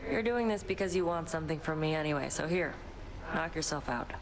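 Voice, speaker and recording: A woman's voice: deep voice, lively intonation, brisk, very noisy. A woman playing a surly, proud warrior rival in a video game, curt and contemptuous in her delivery. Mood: scornful, curt. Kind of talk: scripted video game dialogue.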